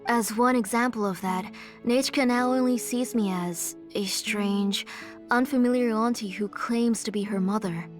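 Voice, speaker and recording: A woman playing a gentle, sorrowful, devoted mother in a dramatic video game scene. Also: fairly high pitch, steady tone, measured pace, faint music behind, lightly acted. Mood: grieving, resigned.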